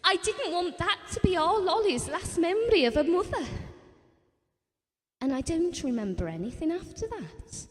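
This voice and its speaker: A woman's voice: very high-pitched, big pitch swings, moderate pace. An actress playing a blunt, bitter wife and mother, confiding her memories in an audio drama. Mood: distressed. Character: Ellen, wife and mother recalling a family tragedy, bitter and blunt. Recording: reverberant hall-like sound.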